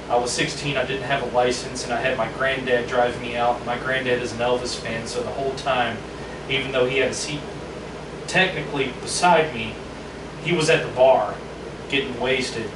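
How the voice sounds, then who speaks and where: Profane animated young man, echoey room. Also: medium pitch, moderate pace. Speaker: band member. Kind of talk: casual chat. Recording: roomy, distant mic with noise.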